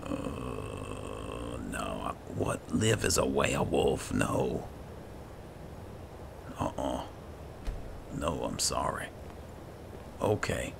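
A man with an extremely low, heavily creaky voice hosts a casual roleplay let's play. He mutters in short bursts at an unhurried pace, with clear noise and game sound behind him. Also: big pitch swings. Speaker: gamer, video host.

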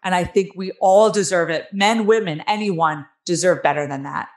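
Raspy, nasal New Jersey woman, lowish voice. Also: normal speed. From New Jersey, United States. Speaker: interview guest, author. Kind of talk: candid personal storytelling in an interview. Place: small room at home, on a video call. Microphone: headset on a video call.

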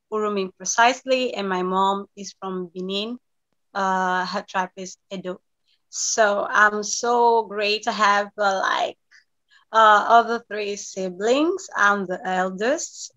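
A young woman from Greece, a singer-songwriter guest, talking casually and expressively with frequent laughs over a remote video call. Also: medium pitch. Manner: bubbly, laughs often, expressive. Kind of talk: casual interview. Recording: slightly dull lo-fi video call.

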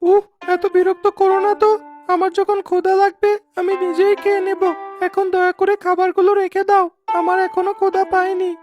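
A woman's voice: extremely high pitch, little pitch movement, fairly quick, darkish tone, dull audio, soft background music. A woman voicing a spoiled, petulant, mean young boy in a children's cartoon.

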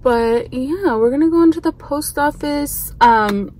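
A young woman in her twenties talks in an easy, chatty way, her sentences trailing off as she sits in a car. She is filmed by a dashboard camera, and steady road and engine noise sits clearly behind her voice. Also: high voice, measured pace. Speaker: vlogger. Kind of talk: casual vlog chat. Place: inside a parked car.

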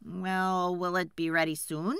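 A woman's voice: fairly low pitch, little pitch movement, a little slow, a bit bright, clean tone, no echo. A woman voicing a meek, apologetic male woodcutter in a children's folk tale, hesitant and pleading. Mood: hungry, hesitant. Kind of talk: storybook character voice.

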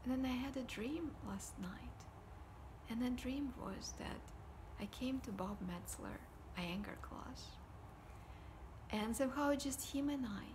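Soft-spoken woman, home vlog. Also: on the high side, unhurried, noisy background. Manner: soft, often whispery delivery. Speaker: vlogger. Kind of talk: casual personal talk to camera. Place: living room at home, indoors. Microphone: device camera at desk distance.

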